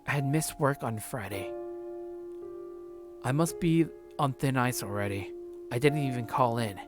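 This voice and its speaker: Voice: mid-range voice, measured pace, music in the background, close to an everyday voice. A performer voicing a meek young man from a visual novel, hesitant and stammering. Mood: anxious, hesitant.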